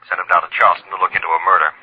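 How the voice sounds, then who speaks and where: An actor playing a brisk, grave insurance man calling by telephone in an old radio drama, with a husky voice. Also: low voice, level tone. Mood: grave, urgent. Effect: telephone filter. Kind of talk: 1950s radio drama acting. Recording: thin phone-like old radio sound.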